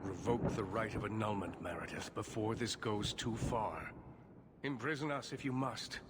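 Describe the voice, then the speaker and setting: An actor playing a grave, pleading older leader of mages in a fantasy video game. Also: male voice, fairly low pitch, normal speed, heavy background noise, close to an everyday voice.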